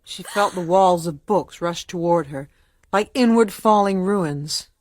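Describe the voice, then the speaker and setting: An actress narrating a radio drama as a grave storyteller, measured and literary in delivery. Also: female voice, fairly low pitch, a little mellow, no room sound, natural voice. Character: grave female storyteller of a ghost tale.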